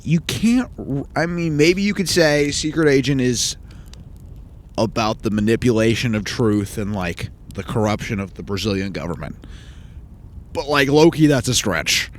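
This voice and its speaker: A man's voice: medium pitch, normal speed, slight background noise. A young man co-hosting a film podcast, casual and slangy in conversation. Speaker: podcast co-host. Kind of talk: casual film review chat.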